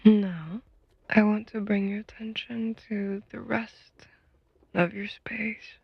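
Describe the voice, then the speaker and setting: A woman leading a guided meditation in a soft, breathy whisper, speaking gently and soothingly with unhurried pauses. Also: medium pitch, steady tone, no room sound, muffled recording.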